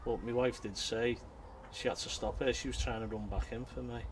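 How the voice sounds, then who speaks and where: A man's voice: medium pitch, steady tone, a little fast. A middle-aged man with a Liverpool accent, giving an informal account as an interviewee. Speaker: interviewee, father of eyewitness. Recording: noisy location interview sound.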